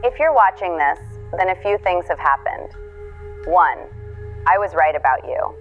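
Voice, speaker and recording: An actress playing a resolute woman leaving a last video message in an audio drama, measured and earnest. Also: lowish voice, lively intonation, faint music behind, close to an everyday voice. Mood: solemn, reassuring. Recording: dull lo-fi video message playback.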